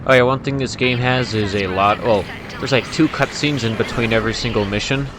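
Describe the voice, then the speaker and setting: A man's voice: mid-range voice, brisk, noisy background. A man giving casual gameplay commentary, reacting and grumbling wryly as he plays. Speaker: gamer recording a playthrough. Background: loud game music and aircraft sounds.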